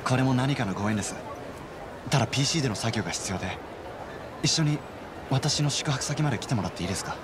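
An actor playing a polite, helpful young man in a video game cutscene, speaking in a courteous, measured, formal way. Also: medium pitch, animated, crowd noise, noisy background, natural voice.

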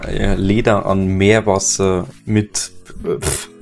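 A man's voice: deep voice, level tone, takes it slowly, gravelly. A man chatting casually while gaming, swearing and laughing easily at his mishaps. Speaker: Let's Play host. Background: faint game music and keyboard typing.

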